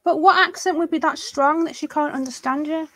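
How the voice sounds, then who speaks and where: A woman co-hosting a live stream, chatting in a relaxed way about makeup. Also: high-pitched, brisk, no echo. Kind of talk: casual chat about makeup.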